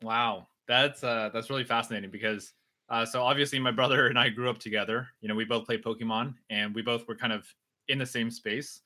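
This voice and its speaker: Upbeat young male host, very bright. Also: medium pitch, fairly even intonation, brisk. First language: Mandarin. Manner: upbeat, reads viewer comments aloud, teasing. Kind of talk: casual livestream Q&A. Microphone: video livestream.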